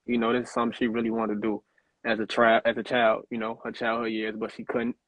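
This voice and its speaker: A man's voice: on the low side, completely flat delivery, fast talker, fairly bright tone, no room sound. A young man from Chicago, an actor guest in a casual interview, talking in a laid-back, rambling way full of fillers over a video call. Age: young adult. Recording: tinny phone-like line.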